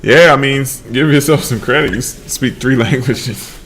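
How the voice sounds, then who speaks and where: A man casually chatting on a livestream while he paints, relaxed and slurring his words together, trailing off mid-sentence. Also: medium pitch, normal speed. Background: faint lo-fi background music.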